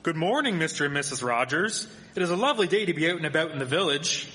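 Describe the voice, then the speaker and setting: Radio drama: male actor as polite Victorian village gentleman, high forceful voice, formal diction, theatrical, echoey hall. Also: expressive, fast talker, a little hiss or hum. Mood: cheerful. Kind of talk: live radio drama acting. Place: performing arts theatre stage. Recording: echoey hall, live stage performance.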